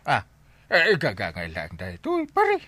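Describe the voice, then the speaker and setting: A man acting in a Filipino radio comedy as an excitable, argumentative, blustery village captain with an Ilocano accent, hemming and chuckling as he talks. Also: fairly low pitch, normal speed, slight background noise, heavily acted. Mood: blustery, joking. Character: Enteng, blustery comic village captain fond of drink.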